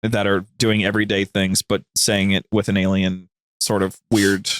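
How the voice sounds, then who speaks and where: A man's voice: fairly low pitch, level tone, normal speed, no echo. A man chatting casually as a podcast guest, telling stories in an informal, rambling, slangy way. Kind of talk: casual, anecdotal chat.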